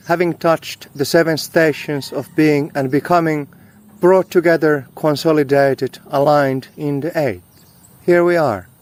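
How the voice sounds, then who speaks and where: A man's voice: medium pitch, darkish tone. Man delivering a solemn spiritual monologue. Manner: solemn, oratorical, speaking in short deliberate phrases. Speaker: host of a spiritual talk series.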